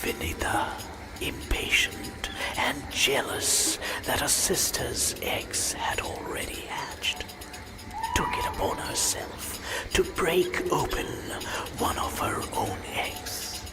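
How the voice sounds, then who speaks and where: A man playing an ancient, serene god who narrates myths in a video game with a breathy, solemn, measured delivery. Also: medium pitch, very animated. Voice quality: breathy, whispery. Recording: loud music under the voice.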